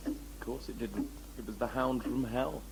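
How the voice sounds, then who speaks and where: A man's voice: medium pitch, fairly even intonation, moderate pace, creaky, natural voice. A man playing a wry, squeamish travelling companion in a sci-fi audio drama, casual and exclamatory. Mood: appalled. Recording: noisy amateur audio-drama tape.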